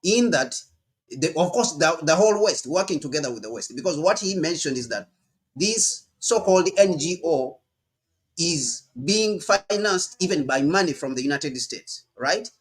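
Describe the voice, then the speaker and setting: A man's voice: fairly high pitch, animated, moderate pace. A man from Uganda giving emphatic political commentary, often repeating himself and checking in with "all right?".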